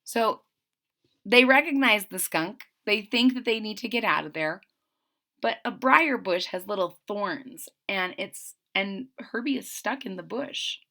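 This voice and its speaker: Bright, animated woman reading a children's story. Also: medium pitch, measured pace. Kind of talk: expressive read-aloud narration with retelling asides.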